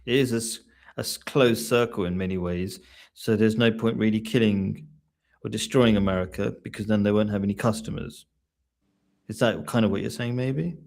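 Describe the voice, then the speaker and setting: A man's voice: fairly low pitch, moderate pace, vocal fry, a little mellow. A man from England hosting a live online discussion, asking questions and often restarting his sentences. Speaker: host.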